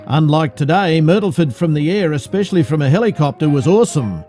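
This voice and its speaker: Newsreel narrator, male, Australian accent, fairly high mellow voice, scripted delivery over music. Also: animated, a little fast. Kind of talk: scripted narration in old cinema newsreel format.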